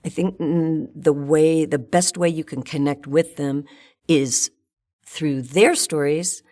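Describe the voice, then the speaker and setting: A woman's voice: sits low, steady tone, slow, dark tone. An older woman, a guest in an interview, talking frankly and thinking aloud with fillers. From United States. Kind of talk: casual interview chat.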